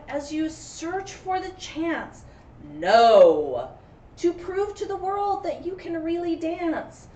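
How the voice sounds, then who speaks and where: A woman teacher reading a story aloud to young children in an expressive, encouraging, dramatic way, with friendly chat in between. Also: fairly high pitch. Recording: roomy echo, distant mic, faint noise.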